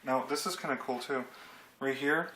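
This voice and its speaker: A man's voice: medium pitch, normal speed, bright, forceful tone. Offhand man rambling in an echoey kitchen. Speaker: product reviewer. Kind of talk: casual unboxing commentary. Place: home kitchen, indoors. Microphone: handheld camera at a short distance. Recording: echoey kitchen with clear noise and clicks.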